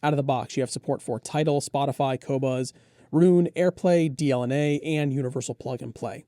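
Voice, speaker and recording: A man with a relaxed, mellow voice at a middling pitch talks through product specs as a reviewer and co-host. The sound is close and dry, and his delivery is conversational, detailed and keen. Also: brisk, dry recording. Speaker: audio gear reviewer and co-host.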